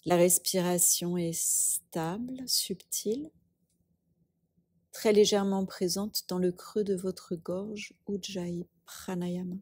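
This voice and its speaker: Woman yoga teacher doing guided relaxation, really slow n breathy, long pauses, super dry close sound. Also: on the low side, no room sound. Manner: calm, unhurried, instructing in short phrases with long pauses. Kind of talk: guided meditation.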